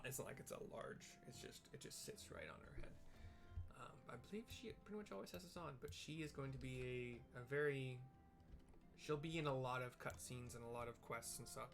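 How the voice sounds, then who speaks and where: A man's voice: mid-range voice, a bit bright. A man giving casual, descriptive commentary on a video game in an informal, meandering way.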